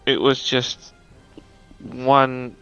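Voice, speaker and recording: A man's voice: medium pitch, level tone, extremely slow, a bit bright, clean tone, highs cut off, soft background music. A man who is not a native English speaker, venting in casual chat as a stream co-host. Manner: frustrated venting, restarts often.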